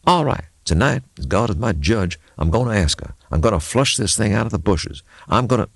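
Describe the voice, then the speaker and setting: A man acting a gruff burly small-town mechanic. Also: lowish voice, animated, fairly quick, no echo, clean studio sound, pitched above the performer's own voice, full character voice. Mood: brooding, resolute. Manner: folksy rural speech, muttering to himself. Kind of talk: audiobook character acting.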